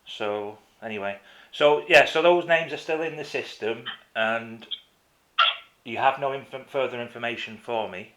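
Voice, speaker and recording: A man making a complaint as a caller in a phone conversation, insistent and halting, often repeating himself. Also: mid-range voice, a bit bright. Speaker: caller to a council office.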